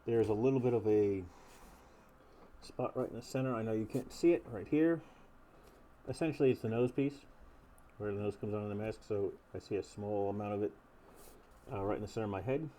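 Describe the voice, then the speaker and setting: A fairly low-voiced man talks quietly through a gas mask, so his voice comes out muffled and a little nasal. He rambles casually with frequent ums as he reviews gear, and faint background noise sits under the recording. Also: fairly quick, pauses often. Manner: soft-spoken, rambling with many ums. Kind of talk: casual unboxing talk.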